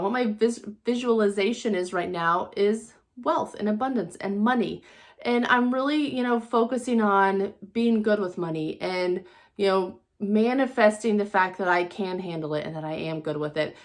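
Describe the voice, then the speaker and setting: An American woman speaking as a motivational coach in a casual monologue, earnest and encouraging, repeating phrases for emphasis. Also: mid-range voice.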